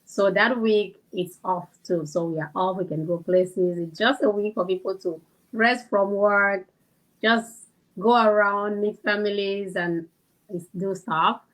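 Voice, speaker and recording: Cameroonian-accented woman, medium pitch. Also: measured pace, fairly bright tone, low-quality recording. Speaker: interview guest, kindergarten teacher. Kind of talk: casual interview chat.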